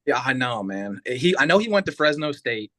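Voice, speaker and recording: A man in his mid thirties, a guest on a casual podcast. He speaks at an ordinary pitch in a laid-back way, often replying with a short "yep" before he tells a story. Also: big pitch swings, normal speed, a bit bright. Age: 30s. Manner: easygoing, short agreeing replies. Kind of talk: casual chat.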